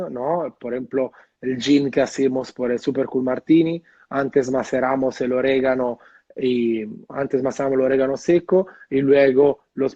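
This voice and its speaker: An Italian-accented man talks about his bar in an easy, halting way, with lots of "eh" and "¿no?". His voice is muffled and dry, with no room echo, as if he is on a video call. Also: mid-range voice, darkish tone. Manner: hesitant, many fillers and tag questions. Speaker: bartender guest. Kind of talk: casual interview over video call.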